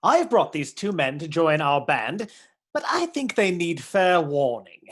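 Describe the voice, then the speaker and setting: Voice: medium pitch, big pitch swings, measured pace, fairly bright tone, husky, full character voice. An eager outlaw minstrel. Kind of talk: stage radio play acting. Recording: music playing behind.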